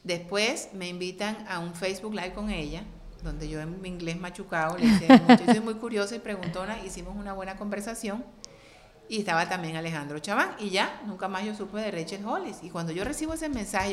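A middle-aged woman from Colombia, a guest on an interview show, telling stories in an animated, expressive way. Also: medium pitch, quick pace, a little hiss or hum. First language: Spanish. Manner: lively, expressive, acts out quoted voices. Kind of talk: animated storytelling in conversation.

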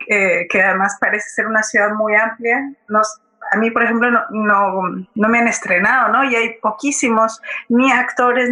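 A woman speaks as a guest in a conversational interview. Her voice is bright and forward, at a middling pitch. She thinks aloud, hesitating and often tagging her points with a check for agreement. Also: rough recording. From Colombia. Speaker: guest playwright.

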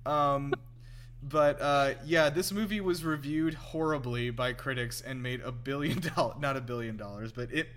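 A man's voice: mid-range voice, moderate pace, a bit bright. A man hosting a casual film commentary chat, rambling and somewhat hesitant as he talks. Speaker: podcast host.

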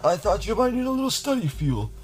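A man voicing a kindly, gentle old grandfather who coaxes his granddaughter with treats, in a storybook read-aloud. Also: sits high, very animated, fast, over music, voice pushed up. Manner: gentle, coaxing.

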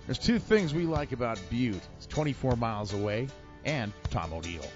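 A man doing a buttery-smooth local legend. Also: medium pitch, expressive, lo-fi sound, soft background music, close to an everyday voice. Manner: slow, smooth promo delivery.

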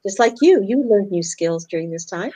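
A woman's voice: medium pitch, expressive, moderate pace, warm tone. A woman from Canada hosting a talk show, chatting casually and upbeat, laughing easily. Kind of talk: casual interview chat.

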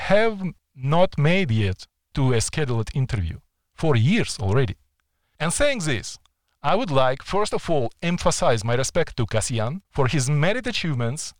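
A man's voice: medium pitch, no room sound. A man hosting a podcast interview, speaking in careful phrasing. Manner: lively, careful non-native phrasing. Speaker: podcast host.

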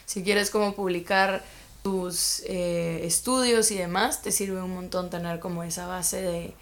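A young woman with a Guatemalan Spanish accent talks in a relaxed, chatty way. She tells stories with lively quoted asides, like a guest on a casual podcast. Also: lowish voice, measured pace. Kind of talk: casual chat.

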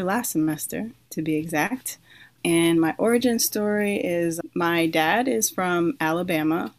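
Low-voiced woman, dry close sound. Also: no room sound. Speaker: law student guest. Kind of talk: casual group conversation.

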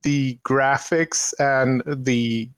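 A man from Sweden with a Swedish accent, chatting slowly and hesitantly about games in a casual podcast. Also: medium pitch, steady tone, dry recording. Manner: slow, hesitant, with many ums and restarts. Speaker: streamer and podcast guest. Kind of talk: casual chat.

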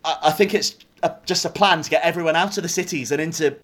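Man acting a halting, conflicted English soldier. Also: fairly high pitch, a bit bright. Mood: urgent, subdued. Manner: halting, stammering, sweary. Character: conflicted young male militia soldier, awkward then desperate. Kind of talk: naturalistic audio drama acting.

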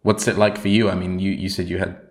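A deep-voiced man co-hosting a relaxed podcast, speaking in a measured, somewhat formal way. His humor is dry and understated, and he chuckles now and then. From Switzerland. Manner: dry, wry and measured, slightly formal phrasing. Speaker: podcast co-host. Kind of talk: casual chat.

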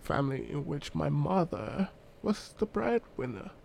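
A man's voice: fairly high pitch, sing-song, a little slow, vocal fry, darkish tone, slight background noise. A young man co-hosting a casual chat podcast, joking and teasing in banter. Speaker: podcast co-host.

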